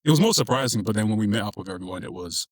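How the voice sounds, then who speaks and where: Fast-talking husky man. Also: on the low side, lively intonation, dry recording, clean studio sound. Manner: easygoing, says "honestly" a lot. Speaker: podcast guest. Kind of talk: casual interview answer.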